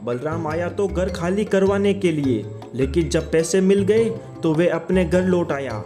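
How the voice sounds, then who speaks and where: A man narrating a children's cartoon story in a steady storytelling manner. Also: on the high side, unhurried, soft background music.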